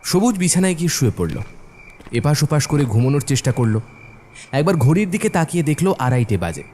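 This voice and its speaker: A man's voice: a little high, big pitch swings, a little fast, dark tone, a little hiss or hum. A man narrating a scripted horror story as its storyteller.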